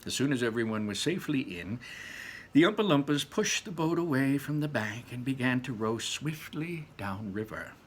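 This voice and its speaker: Animated man reading a storybook aloud. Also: medium pitch, normal speed, slight background noise. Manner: dramatic, emphatic, repeats phrases for effect. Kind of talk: animated storybook narration with casual asides.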